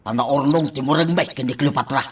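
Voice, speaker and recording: A man's voice: medium pitch, a little fast, fairly bright tone, husky. A man playing a bossy, nagging character ordering chores in a comedic radio drama, pushy and scolding.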